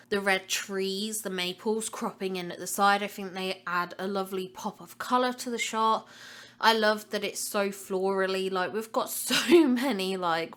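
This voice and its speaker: A woman's voice: medium pitch, a bit bright. A young woman hosting a casual gaming vlog, chatty and enthusiastic and slightly congested from a cold. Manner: chatty and enthusiastic, repeats words for emphasis. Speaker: gaming channel host. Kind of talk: casual game commentary vlog.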